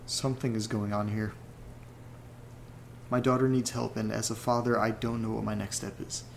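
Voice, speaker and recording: A man playing an uneasy horror podcast host who reads letters aloud, earnest and deliberate, with pauses between phrases. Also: lowish voice, flat delivery, gravelly, slight background noise. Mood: uneasy, troubled. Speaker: audio drama podcast host character reading listener letters. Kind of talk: dramatic narration in a horror audio drama.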